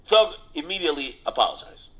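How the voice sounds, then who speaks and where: Bright-voiced man, blunt gossip commentator. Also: on the high side, animated, fast talker. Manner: blunt, slangy, repeats phrases for emphasis. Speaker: online commentator and host. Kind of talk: casual commentary on celebrity gossip.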